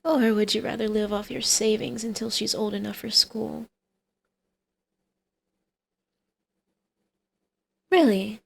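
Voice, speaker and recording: A woman's voice: mid-range voice, steady tone, normal speed, creaky, slightly rough. A woman playing a brazen, scheming supervillain mother in an ASMR roleplay, teasing, smug and coaxing. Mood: coaxing, sly.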